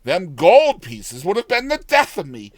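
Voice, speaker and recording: A man's voice: high-pitched, wildly swooping pitch, a little slow, no room sound, studio quality, voice pushed up, heavily acted. A man voicing a cheery, ever-optimistic old countrywoman from a storybook, exclaiming in folksy rustic speech. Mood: surprised, contented.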